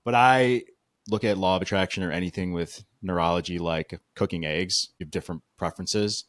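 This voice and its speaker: A man's voice: low-pitched, fairly quick, fairly bright tone, no echo, clean studio sound. A man hosting a podcast, talking casually and with enthusiasm, using slang like "dude" and "bro". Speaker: podcast host.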